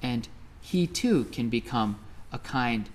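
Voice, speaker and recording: A storyteller voicing a big, strong, patient ox in a children's story, speaking slowly and gently. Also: mid-range voice, pitched below the performer's own voice. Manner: slow, calm and soft.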